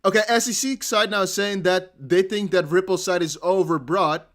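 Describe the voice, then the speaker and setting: Male streamer with a Dutch accent, slightly high voice, excitable live commentary, laughs often. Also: normal speed. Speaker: crypto news streamer. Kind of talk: live commentary on a court hearing.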